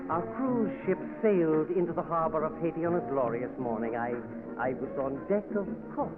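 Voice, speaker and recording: A man's voice: fairly high pitch, animated, moderate pace, music in the background, lightly acted. An actor playing a courteous, slightly hesitant male professor who also narrates, in an old radio drama. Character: polite curious male college professor. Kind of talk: 1940s radio acting. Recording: tinny old radio broadcast.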